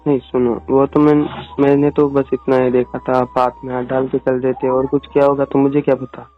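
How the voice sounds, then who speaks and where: A young man playing a jealous, sulking boyfriend in a casual, halting phone-call argument. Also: medium pitch, flat delivery, close to an everyday voice. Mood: defensive, sullen. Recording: tinny phone-call sound under music.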